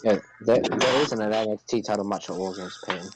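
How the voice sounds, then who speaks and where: Male podcast co-host, lower register. Blunt and dismissive in casual chat, clearly noisy recording. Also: fairly quick. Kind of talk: casual wrestling discussion.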